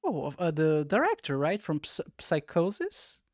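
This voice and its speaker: A man's voice: fairly high pitch, huge pitch swings, measured pace, no echo. A man hosting a podcast, chatty and eager as he greets listeners and interviews his guest. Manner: eager, chatty, asks for engagement. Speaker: podcast host.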